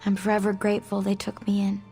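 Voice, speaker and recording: Actress as young mutant outcast, gravelly edge. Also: female voice, medium pitch, monotone, quick pace, dark tone, faint music behind, natural voice. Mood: wistful, sincere. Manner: casual, candid, hesitant. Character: young female mutant, guarded, earnest outcast. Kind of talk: video game dialogue acting.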